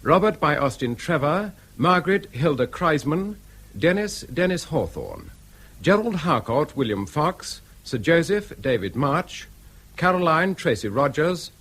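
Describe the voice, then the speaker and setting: A man's voice: fairly high pitch, very animated, measured pace, a bit bright. Lilting English male radio announcer. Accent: English, received pronunciation. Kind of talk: formal scripted cast credits.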